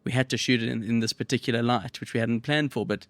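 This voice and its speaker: A man's voice: medium pitch, fairly even intonation, fast talker, no room sound. A man from South Africa, a film director, talking reflectively in a casual podcast interview. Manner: reflective, many fillers.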